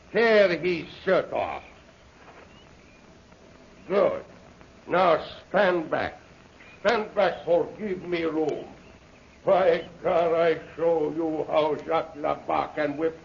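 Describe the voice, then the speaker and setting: A brutish French henchman in a 1930s radio adventure, boasting in broken English. His voice sits high and he takes his time, on a muffled, noisy old recording. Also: lively intonation, drawn-out words, creaky, pauses often, heavily acted. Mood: swaggering. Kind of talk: 1930s radio serial acting.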